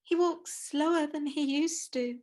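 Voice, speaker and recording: Actress as bereaved mother, radio play: high, slow, gentle, mournful. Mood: wistful. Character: grieving, gentle mother mourning her little son. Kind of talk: vintage radio drama acting.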